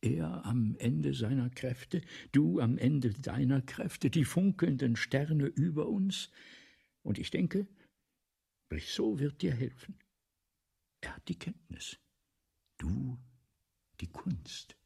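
Casting: vain, rambling writer in radio drama, very hoarse-edged and creaky, theatrical, dropping into whispers. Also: male voice, medium pitch, big pitch swings, mellow, exaggerated voice. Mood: musing, excited. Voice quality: husky, gravelly, often breaking into breathy whisper. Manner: declamatory, halting, self-correcting, repeating phrases. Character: obsessive, vain male writer Balzac, rambling and forgetful. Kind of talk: theatrical German radio play monologue.

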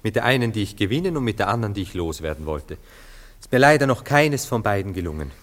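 Low-voiced, sentimental Viennese dandy. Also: male voice, very animated, fast, fairly bright tone. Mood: self-satisfied, animated. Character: self-dramatizing, sentimental, indecisive male lover, a Viennese dandy. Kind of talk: German radio play acting.

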